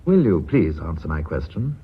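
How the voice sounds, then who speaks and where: An actor playing an urbane, commanding British company director in a mid-century radio drama, speaking formally with dry irony. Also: male voice, deep voice, sing-song, moderate pace, breathy edge, full character voice. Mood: cool, commanding. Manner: formal, dryly ironic, authoritative. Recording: dull vintage radio recording.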